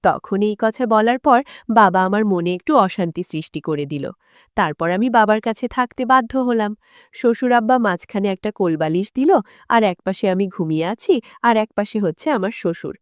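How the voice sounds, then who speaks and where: A woman's voice: mid-range voice, lively intonation, moderate pace, no echo, studio quality. A woman narrating a dramatic first-person story as a storyteller.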